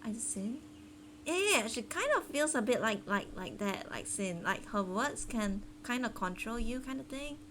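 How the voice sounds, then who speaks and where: A woman's voice: on the high side, animated, moderate pace, background noise. A woman game streamer chatting casually with viewers in a giggly, hesitant, rambling way. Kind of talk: casual live-stream chat. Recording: game music behind the voice.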